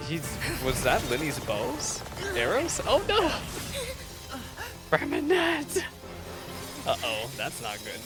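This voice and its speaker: A woman giving casual, expressive reaction commentary as she plays a video game, full of exclamations and playful slang. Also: low voice, slow, pauses often. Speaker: gaming streamer reacting to a game. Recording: loud game music under the voice. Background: game music and dialogue.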